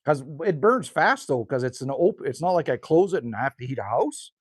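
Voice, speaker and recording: A man's voice: on the high side, big pitch swings, a little fast, husky, no room sound, clean studio sound. A man, chatting casually and rambling with viewers as a livestream host outdoors by a rural cabin. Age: 50s. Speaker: livestream host reading chat.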